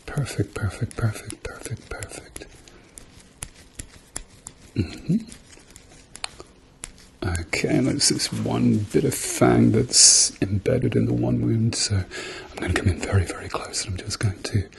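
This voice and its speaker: Man, ASMR doctor roleplay, very slow, creaky murmur, gentle and reassuring, often whispery, faint background noise. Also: mid-range voice. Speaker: ASMR performer playing a doctor. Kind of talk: ASMR medical roleplay, softly spoken to the listener.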